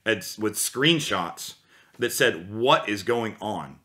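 A man's voice: fairly low pitch, slow pace. A man in his thirties, a voice teacher, telling a long story in casual podcast chat, rambling with lots of restarts. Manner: rambling, animated, many restarts. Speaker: guest, voice teacher. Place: small room with guitars on the wall.

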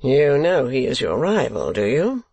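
A sly old male moneylender from a classic storybook, acted by a narrator. He speaks slowly and dryly at a middling pitch, with sneering, false deference. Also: big pitch swings, creaky, a little mellow, breathy edge, dry recording, full character voice. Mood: guarded. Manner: measured, dry, falsely deferential.

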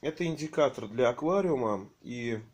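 Slightly hoarse man rambling casually. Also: medium pitch, lively intonation, moderate pace, darkish tone. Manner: rambling, hesitant, many fillers. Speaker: aquarium hobby vlogger. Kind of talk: casual home vlog, off-camera narration. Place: home room with aquariums.

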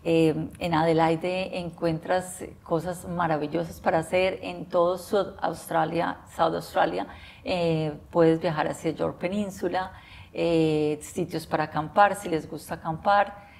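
A woman in her forties from Colombia with a Colombian accent, seated indoors and talking to camera with enthusiasm, often pausing with "eh". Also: fairly low pitch, little pitch movement, creaky, darkish tone. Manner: enthusiastic, frequent hesitations with eh. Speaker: interviewee. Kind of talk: talking to camera about life abroad. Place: bright office room by large windows, indoors.

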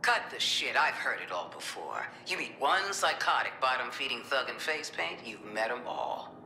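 Game role: contemptuous middle-aged woman in command, very forceful, low for a woman, echoey room. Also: very animated, moderate pace, a little hiss or hum, heavily acted. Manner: blunt, contemptuous, menacing. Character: hard, threatening middle-aged female government boss. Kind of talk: tough video game dialogue.

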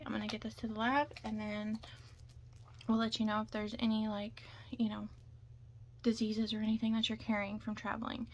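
A young woman doing an ASMR roleplay in whispers and soft talk, hushed, chatty and a little hesitant, recorded close up indoors. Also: a little high, heavy background noise.

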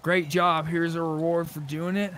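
A man's voice: a little high, level tone, moderate pace, fairly bright tone. A man chatting casually in video game roleplay, swearing freely with mock indignation. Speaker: gamer, roleplay streamer.

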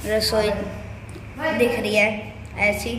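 A woman's voice: medium pitch, completely flat delivery. A woman vlogger narrating a casual home tour for viewers, pointing things out as she films indoors on a phone. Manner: slow, showing things to viewers. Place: inside a house. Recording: noisy phone recording.

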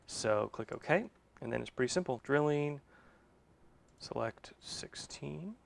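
A man's voice: medium pitch, expressive, takes it slowly, gravelly. A man in his thirties walking through a shop job in a casual instructional way, thinking aloud and correcting himself as he goes, in a machine shop. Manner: thinks aloud while working, with self-corrections. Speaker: machine shop owner and video host.

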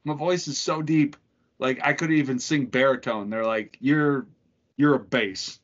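A man's voice: medium pitch, a little slow, muffled recording. A man in his thirties co-hosting a podcast, bantering loosely with laughter and swearing over a video call from home. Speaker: podcast co-host. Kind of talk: casual profane chat.

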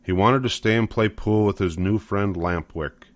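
A man's voice: on the low side, moderate pace, no room sound. An older man narrating a children's storybook from a script.

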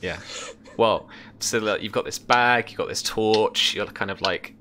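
Deep-voiced man narrating a tabletop game. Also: pushed and bright, a little hiss or hum. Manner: halting, casual, descriptive. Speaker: game master. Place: indoor room, remote session. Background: music.

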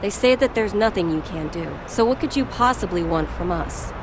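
An actress playing a serious, composed presidential aide, formal and precise, in a dubbed anime game cutscene. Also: female voice, mid-range voice, expressive, normal speed, noisy background, close to an everyday voice. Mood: grave, composed.

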